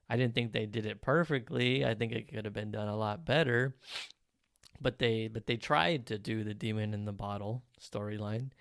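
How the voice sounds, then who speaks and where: A man's voice: lowish voice, creaky, clean tone, no room sound, clean studio sound. A man hosting his own podcast, talking casually and with strong opinions, with plenty of ums and uhs. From Mexican heritage. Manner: chatty, opinionated, frequent fillers. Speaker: podcast host. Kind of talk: casual solo podcast talk.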